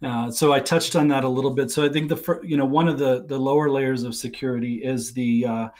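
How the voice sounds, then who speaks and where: A middle-aged man giving explanatory technical talk as a guest expert on a livestream video call, thinking aloud and qualifying his points as he goes. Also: mid-range voice. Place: small attic room at home.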